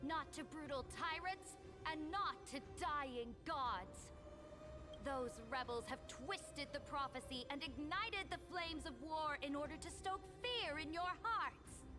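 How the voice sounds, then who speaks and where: A woman voicing a commanding warrior queen who rallies her people in a rousing, declamatory way, over loud game music. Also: high-pitched, expressive, slow pace.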